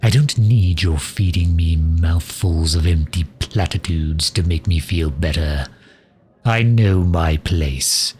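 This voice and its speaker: A man playing a sardonic, loyal fantasy mage advisor in an intimate ASMR roleplay, dry and grumbling, with a husky, breathy delivery. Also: sits low, slow. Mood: sardonic, prickly. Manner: dry, grumbling, sharp-tongued, with hesitant breaks.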